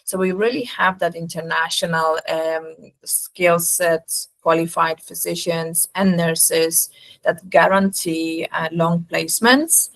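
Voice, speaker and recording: Low-pitched woman with a Polish accent. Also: steady tone, slow, vocal fry, a bit bright. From Poland. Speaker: recruitment agency representative presenting. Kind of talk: webinar sales presentation.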